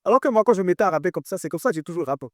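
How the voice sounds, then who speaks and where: A man's voice: high-pitched, animated, rapid-fire, a little mellow, no room sound, studio quality. A man in his twenties with a French accent, a guest artist talking casually in an interview and repeating phrases for emphasis.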